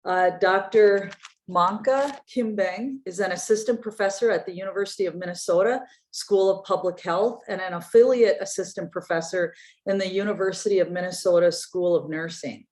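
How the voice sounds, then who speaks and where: A woman moderating an online event, introducing speakers in a hesitant way with frequent pauses mid-sentence. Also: mid-range voice, darkish tone. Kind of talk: event introduction with halting delivery.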